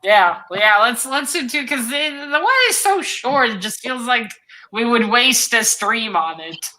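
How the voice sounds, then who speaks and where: A woman's voice: on the high side, lively intonation, a little slow, sharp tone, rough recording. A woman co-hosting a casual book discussion on a live stream, talking informally and jokingly. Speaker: co-host of a literary read-along stream.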